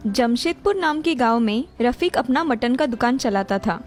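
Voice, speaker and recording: A woman's voice: a little high, animated, normal speed, soft background music. A woman narrating a scripted story as the storyteller.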